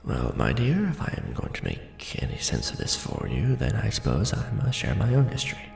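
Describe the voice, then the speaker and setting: A man playing a courtly, brooding vampire lord in an ASMR roleplay, speaking formally and deliberately in a hushed, breathy, gravelly voice. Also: on the low side, huge pitch swings, exaggerated voice. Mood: courtly, explaining. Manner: formal, hushed, deliberate, theatrical aristocratic address. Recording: roomy echo under background music. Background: music.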